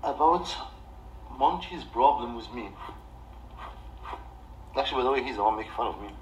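A man in his thirties with an Egyptian accent, ranting off the cuff on a livestream in a defensive, halting, repetitive way. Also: mid-range voice, expressive, highs cut off. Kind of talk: off-the-cuff livestream rant to camera. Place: small living room indoors. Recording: distant mic with background noise.

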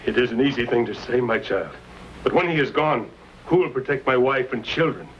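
A man's voice: mid-range voice, lively intonation, a little fast, close to an everyday voice. Fearful villager played by a man, muffled old soundtrack. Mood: fearful, challenging. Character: fearful, bitter Cajun family man and trapper. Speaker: actor playing a villager. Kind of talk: 1950s Western screen acting. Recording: dull, faintly noisy old film soundtrack.

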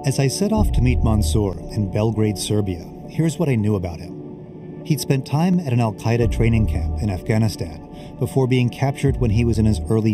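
A male reporter narrating a documentary voice-over in a measured, even manner. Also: on the low side. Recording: voice-over under music.

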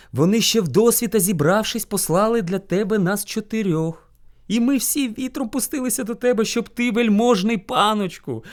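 A man voicing a small, sly hare in a folk tale, servile, meek and flattering as he pleads with his lord. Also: sits high, pitched above the performer's own voice. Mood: pleading. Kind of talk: animated folk tale storytelling.

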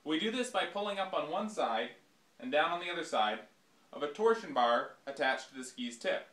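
A young man presenting a scripted product demonstration for his company, explaining each step in a measured way. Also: on the high side, animated, fairly bright tone. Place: small indoor workshop. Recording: echoey workshop room.

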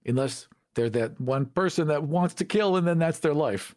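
A man's voice: a little high, animated, normal speed, darkish tone. A man co-hosting a podcast in casual discussion, making short, emphatic statements. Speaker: podcast co-host. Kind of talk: casual discussion of fantasy game monsters.

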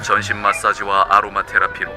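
A man's voice: on the low side, completely flat delivery, a little fast, over music, close to an everyday voice. A man in an amateur film dub, playing a hotel's promotional announcer with a polished, inviting sales delivery. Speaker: amateur voice actor.

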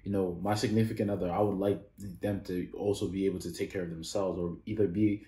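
A man's voice: sits low, fast, reverberant. A man in his thirties hosting a reaction video, talking casually and full of "like" fillers. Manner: rambling, many "like" fillers, animated when disagreeing. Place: small indoor room.

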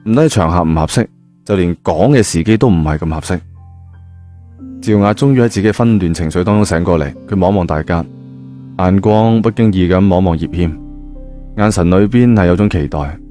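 Low-pitched Cantonese man telling a story. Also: a little fast, warm tone. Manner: conversational, with frequent spoken particles. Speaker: storyteller, audio novel narrator. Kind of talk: colloquial improvised story narration. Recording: music bed behind the voice. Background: background music.

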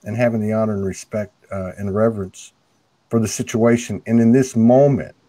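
Middle-aged male host, loud carrying voice, earnest and halting; low pitch, dry earphone-mic sound. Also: steady tone, no room sound. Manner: earnest, halting, many restarts. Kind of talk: spiritual video call conversation. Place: home room, indoors. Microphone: earphone headset mic on a video call.

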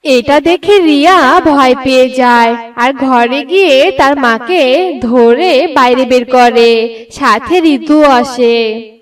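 A woman's voice: sits high, slow, far from the mic. A woman narrating a scripted bedtime story for a cartoon.

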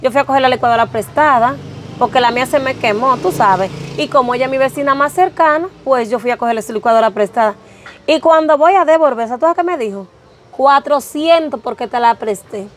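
A woman acting as a neighbor upset over being charged for a loan, talking with quick, aggrieved animation. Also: high-pitched, a little hiss or hum, natural voice.

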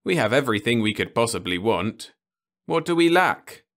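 A man's voice: mid-range voice, sing-song, normal speed, fairly bright tone, pitched above the performer's own voice. A man voicing an earnest young man insisting everyone is content, in a storybook reading. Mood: insistent.

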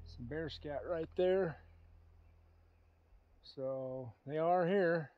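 A middle-aged American man with a folksy, excitable manner, narrating his own backpacking and fishing trip outdoors by a mountain lake, recorded on a handheld camera. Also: a little high. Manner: folksy, excitable, says "heck yeah". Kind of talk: casual outdoor vlog narration.

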